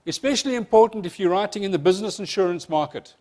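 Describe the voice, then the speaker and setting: A man from South Africa with a South African accent and a slight speech impediment, giving a fast-paced, joking insurance seminar presentation to a room of advisers. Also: sits high, a little mellow. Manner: fast-talking presenter, jokey asides. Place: seminar room with live audience.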